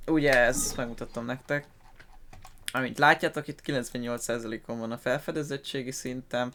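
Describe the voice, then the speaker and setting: A man's voice: mid-range voice, a bit bright, slight background noise. A Hungarian man giving casual let's-play commentary, informal and often searching for words. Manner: informal, hesitant word-searching. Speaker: gaming video host.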